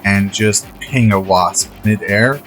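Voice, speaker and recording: A man's voice: lowish voice, slow, a bit bright, faint music behind. A man in casual livestream chat, laid-back and matter-of-fact, free with swear words.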